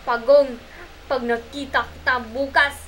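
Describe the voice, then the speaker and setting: A woman's voice: sits high, fairly even intonation, a little slow, slight background noise, low-quality recording. A woman voicing a greedy, mean monkey in an amateur puppet show, blustering and threatening, whining when in trouble. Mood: angry, menacing.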